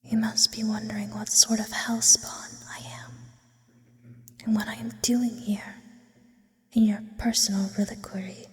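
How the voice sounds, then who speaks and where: A woman voicing an ancient, eerie sleep paralysis demon in an intimate, formal ASMR roleplay, mostly in a breathy whisper. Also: mid-range voice, level tone, close to an everyday voice. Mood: teasing, eerie. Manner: slow, deliberate, theatrical and formal in wording. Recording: long reverberant hall effect.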